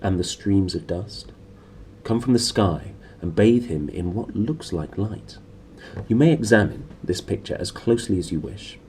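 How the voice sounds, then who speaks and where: Storybook role: composed gentleman lecturer, played by a male reader, dark tone, measured, assured delivery. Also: deep voice. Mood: calm, assured. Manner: calm, measured. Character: composed, authoritative gentleman explorer lecturing scholars. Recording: clearly noisy room recording.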